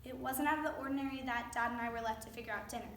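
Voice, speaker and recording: A woman's voice: fairly high pitch, steady tone, rapid-fire, fairly bright tone, natural voice. A young woman playing a traumatized, guilt-laden teenage girl in a staged monologue. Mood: haunted. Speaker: student performer in readers theater. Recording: distant echoey stage recording, faint noise.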